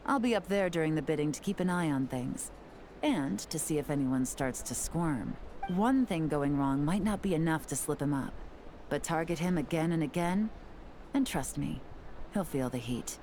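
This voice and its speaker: A shrewd female game agent, low pitch, composed, calculating, confident, faint background noise. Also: a little mellow. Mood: confident, sly. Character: cool, shrewd woman agent plotting a sting. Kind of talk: scripted game dialogue.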